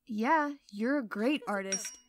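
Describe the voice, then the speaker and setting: A woman's voice: fairly high pitch, slow, a little hiss or hum. A woman playing a blunt, sardonic young woman in an audio drama, teasing drily. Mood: exasperated teasing.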